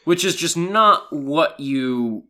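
Hoarse man, animated chat. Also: on the high side, slow pace. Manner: animated, laughing, swearing. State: hoarse from a cold. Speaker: podcast co-host. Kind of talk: casual chat.

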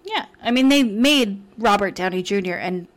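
A woman's voice: medium pitch, lively intonation, normal speed. A woman co-hosting a podcast in casual chat, playful and opinionated, laughing often. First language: not English. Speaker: podcast co-host.

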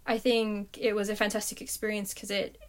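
A woman's voice: medium pitch, little pitch movement, brisk. Upbeat young woman telling a story. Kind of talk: casual chat.

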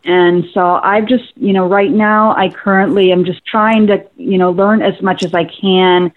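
A woman in her forties calling in to a show, talking haltingly with many fillers and repeating herself. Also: mid-range voice, steady tone. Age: 40s. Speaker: caller. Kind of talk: call-in show chat. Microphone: phone. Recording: tinny phone line.

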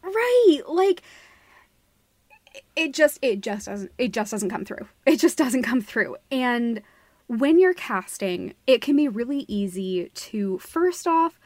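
A woman's voice: a little high, darkish tone, dry recording. A woman co-hosting a podcast, giving her opinions casually in a frank, emphatic way. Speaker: podcast co-host.

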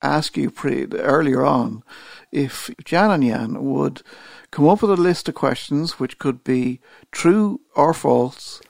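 Gravelly-voiced male podcast host. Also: on the high side, slow, darkish tone, no room sound. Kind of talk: casual chat.

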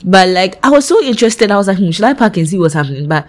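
A young woman with a Ghanaian accent telling a story in casual chat, full of "like" fillers. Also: rapid-fire. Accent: Ghanaian English. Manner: chatty storyteller, many "like" fillers, lively swings in pitch.

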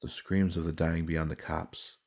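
A man reading dramatic fantasy narration as an audiobook narrator, solemn, measured and suspenseful. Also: very deep voice, level tone, vocal fry, darkish tone.

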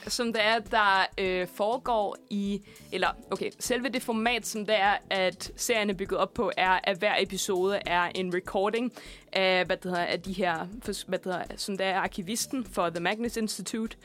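En ung mand, der vært i et radiostudie og snakker løst og fyldord-tungt. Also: male voice, high voice, steady tone, fairly bright tone. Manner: rambling, many fillers and restarts. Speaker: radio host. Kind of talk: casual studio chat. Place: student radio studio.

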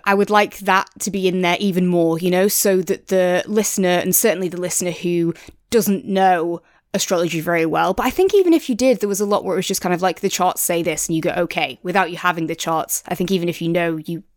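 A woman's voice: lowish voice, quick pace, no echo, clean studio sound. A woman co-hosting a podcast, talking through a review in an agreeable, thoughtful, conversational way. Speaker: podcast co-host reviewing audiobooks. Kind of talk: casual discussion.

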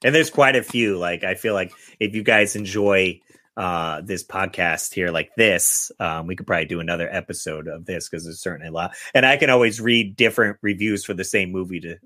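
A man's voice: deep voice, brisk, sharp tone, no echo, clean studio sound. A man hosting a podcast, chatting casually and reading out film reviews. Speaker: podcast host.

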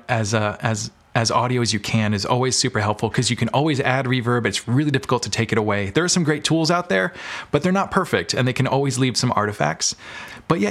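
A man with a mid-pitched voice talks as a guest on a podcast. He explains his craft in an informal, conversational way, reaching for practical examples as he goes. He is recorded in a small carpeted bedroom with bare walls. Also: fairly bright tone. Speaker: podcast guest, audio drama maker.

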